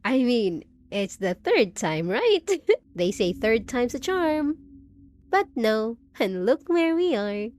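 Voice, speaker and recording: A woman plays an undead bride with a higher-pitched voice and slow, deliberate delivery, set over noticeable background noise. She is possessive and unhinged, cooing pet names and mock pity while threatening her lover. It is performed as a scripted roleplay audio drama. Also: very animated, darkish tone.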